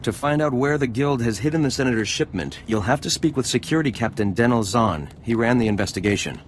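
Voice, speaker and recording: A man's voice: medium pitch, lively intonation, fast talker, a little hiss or hum, close to an everyday voice. A man playing a fawning, eager senator's aide in a video game, speaking in a formal, gushing way. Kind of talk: video game dialogue acting.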